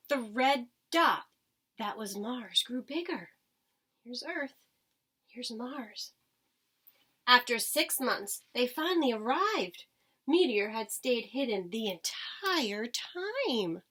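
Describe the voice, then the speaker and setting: A woman's voice: a little high, big pitch swings, takes it slowly, pushed and bright, slightly rough. A woman reading a children's storybook aloud like a teacher, expressively, with dramatic emphasis. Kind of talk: expressive children's storybook read-aloud with short asides to listeners.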